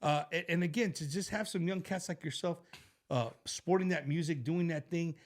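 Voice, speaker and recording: Animated Texan man hosting a chat. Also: fairly high pitch, normal speed. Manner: animated, loose, many restarts, lots of "bro". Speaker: show host. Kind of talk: casual chat with a guest.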